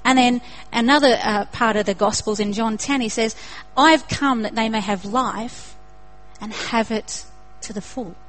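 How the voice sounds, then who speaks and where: A woman's voice: on the high side, unhurried, a bit bright, low-quality recording. A young woman with an Australian accent preaching a sermon in an earnest way, building to emphatic repetition.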